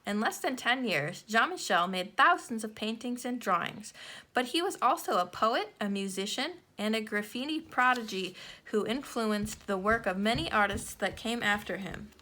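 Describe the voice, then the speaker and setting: A woman narrating a children's picture book, reading the scripted text in measured phrases with deliberate pauses. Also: a little high, animated, pushed and bright.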